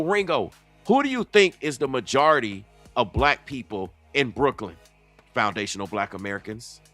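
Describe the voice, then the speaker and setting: Man, reaction commentator, heated, rhetorical, bright sharp voice, faint music behind. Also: on the high side. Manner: heated, rhetorical, dialect-heavy.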